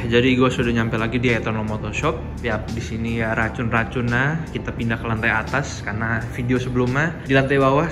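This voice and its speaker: Young man, medium pitch, casual slangy vlog chat, explanatory, music playing behind. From Indonesia. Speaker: motorcycle modification vlogger.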